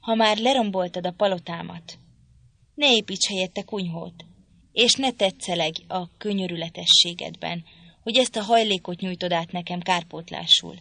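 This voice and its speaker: A woman's voice: medium pitch, a bit bright, no room sound. A woman reading the part of a bitter, vengeful young man, talking coldly and threateningly. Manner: cold, threatening.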